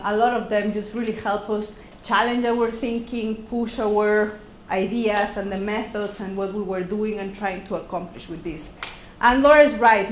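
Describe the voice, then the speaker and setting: Woman lecturing in echoey room. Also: mid-range voice. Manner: halting, repeats words, laughs easily. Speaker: guest speaker, professor. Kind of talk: academic lecture. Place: university classroom. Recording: echoey classroom, background hiss.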